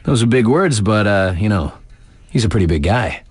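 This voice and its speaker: A cool young male DJ on a video game sports station. His delivery swings up and down in pitch, conversational and cheeky, with teasing asides. The sound is close and dry, like a studio booth. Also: animated, normal speed, slightly rough, dry recording. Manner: laid-back hype, conversational, teasing asides. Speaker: radio DJ. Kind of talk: in-game radio DJ patter on extreme sports news.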